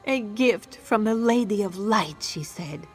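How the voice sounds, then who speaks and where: A woman's voice: medium pitch, lively intonation, measured pace, a little mellow, soft background music, close to an everyday voice. A woman playing an anxious, grieving and bitter lover in scripted fantasy game drama.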